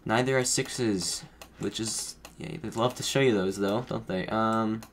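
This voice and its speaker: A deep-voiced man talks through his game at a desk mic, thinking aloud and stumbling over restarts as he reasons. He sounds a little under the weather, and faint keyboard typing and a low room hiss sit behind him. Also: a little slow. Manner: rambling, self-correcting, thinking aloud while guessing. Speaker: gaming streamer. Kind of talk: casual play-by-play commentary.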